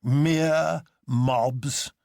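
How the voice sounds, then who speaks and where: A man's voice: mid-range voice, fairly even intonation, drawn-out words, gravelly, darkish tone, no room sound, studio quality. A man voicing a scornful, worldly detective in an audiobook, lecturing in an emphatic, opinionated way.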